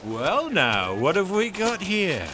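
Jeering, high-pitched London bully. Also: male voice, wildly swooping pitch, slow pace, noisy background, full character voice. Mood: sneering, mocking. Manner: taunting, sneering. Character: jeering, bigoted London bully, mocking and menacing. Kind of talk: radio drama acting.

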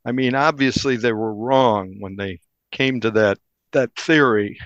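Guy reporter telling a crime story in an interview, measured, mid pitch, dry slightly dull recording. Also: fairly bright tone, dry recording. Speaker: investigative newspaper reporter. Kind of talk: interview, recounting a crime case.

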